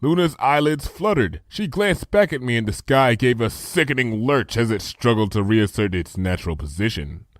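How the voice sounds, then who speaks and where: A man narrating a comic fan story in a lively storytelling style. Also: mid-range voice, moderate pace, hardly any pauses, dry recording, studio quality. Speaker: fanfiction reader. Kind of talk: dramatic audiobook narration.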